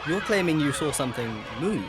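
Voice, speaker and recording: A man's voice: on the high side, expressive, a little fast, music in the background, a crowd in the background, lightly acted. A young man playing an inquisitive news reporter in the field in a drama. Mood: urgent. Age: young adult.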